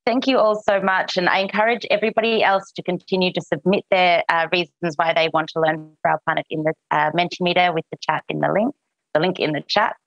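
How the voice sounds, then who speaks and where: A woman's voice: on the low side, steady tone, quick pace, vocal fry, no echo, muffled recording. A woman moderating an online webinar, friendly and a little hesitant, with frequent ums as she introduces guests.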